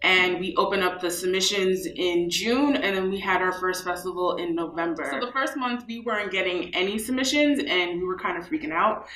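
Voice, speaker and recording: A young woman from New York with a bright voice talks about her work in a casual interview. She speaks chattily and leans on fillers. The sound has the ring of an echoey room. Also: lowish voice, a little fast, hardly any pauses. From New York, USA. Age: young adult. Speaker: film festival co-founder.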